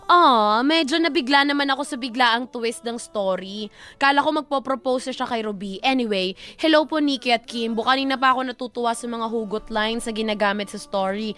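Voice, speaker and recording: A woman's voice: on the high side, brisk, a bit bright, smooth. A Filipino woman co-hosting a live show, reading listener comments and giving advice in playful, chatty banter.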